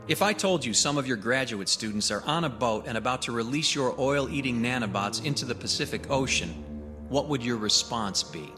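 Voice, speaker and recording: A man's voice: medium pitch, a little fast, music in the background, close to an everyday voice. An actor playing a probing, stubborn investigator in a film drama, informal and sardonic as he presses his questions. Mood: insistent.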